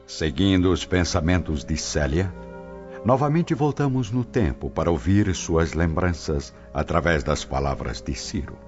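Very deep-voiced male radio drama narrator. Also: animated, a little slow, darkish tone. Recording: dull lo-fi radio drama audio. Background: music.

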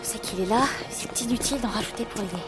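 A woman acting a bold, quick-witted adventurer sister in an audio drama, dry and commanding. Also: mid-range voice, natural voice. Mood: wry, determined. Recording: loud music behind.

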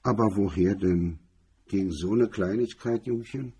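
A man's voice: on the low side, moderate pace, mellow, slightly rough. A man voicing a mocking, sour tough gangster in an audiobook crime story, with sneering, slangy talk. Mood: mockingly polite.

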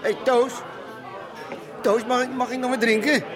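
An actor playing a hapless, pushy, tipsy barfly who pleads and whines in a radio drama. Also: male voice, very high-pitched, little pitch movement, unhurried, music in the background, crowd noise. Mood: pleading.

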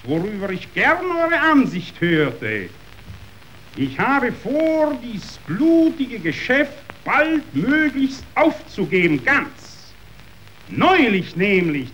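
An actor playing a ruthless yet sentimental meat magnate in a verse radio drama, declamatory, wheedling and self-pitying. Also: male voice, high-pitched, fairly bright tone, full character voice. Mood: theatrical, shaken. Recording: dull radio recording, faint noise.

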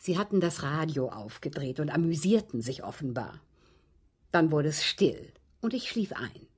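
An actress playing a genteel, aggrieved widow in a German radio drama, speaking in precise, formal, dramatic phrases with a husky voice. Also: female voice, deep voice, lively intonation, normal speed. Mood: matter-of-fact. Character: genteel, aggrieved widow recounting her captivity.

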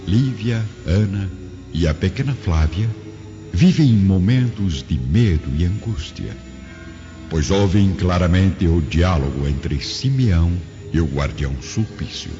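A man narrating a radio drama from a script in a grave, literary, deliberate manner. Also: sits low, expressive. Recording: dull lo-fi audio, music behind.

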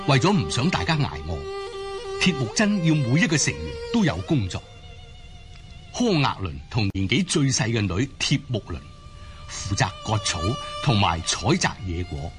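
Animated Cantonese male storyteller over music. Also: mid-range voice. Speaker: narrator of an audio drama. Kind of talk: scripted storytelling narration.

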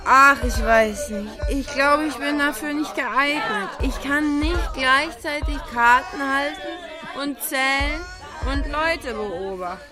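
A woman's voice: high-pitched, takes it slowly, a crowd in the background. An actress playing a shy, awkward young woman in a radio drama, self-deprecating in her delivery. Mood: self-doubting. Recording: music behind the voice.

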